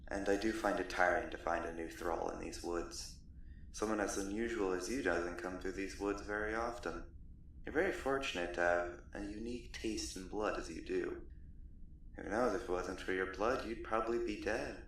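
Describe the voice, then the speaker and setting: Courtly vampire captor, male performer, ASMR roleplay: low, gravelly, condescending, politely menacing. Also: moderate pace, far from the mic. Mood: courteous, gloomy. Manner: genteel, condescending, softly threatening. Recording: roomy echo, off the mic, faint noise.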